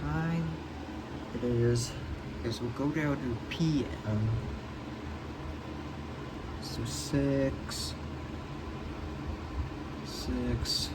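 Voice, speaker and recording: Man, slow halting muttering, thinking aloud, loud background hiss. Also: medium pitch. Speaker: hobbyist vlogger unboxing a weather radio. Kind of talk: muttering to himself while setting up a device.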